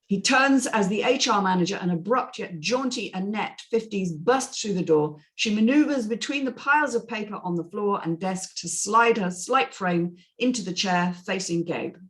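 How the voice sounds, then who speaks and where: A woman serves as narrator for a script reading. She delivers stage directions and scene descriptions in a mid-pitched voice. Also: expressive, moderate pace, hardly any pauses.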